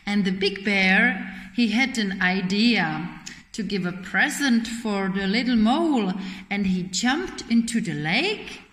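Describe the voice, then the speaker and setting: A woman in her thirties tells a picture-book story to small children in simple, expressive phrases, asking questions as she goes. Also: mid-range voice, slow. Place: indoor children's classroom. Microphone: camera a short distance away. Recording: roomy echo, faint background noise.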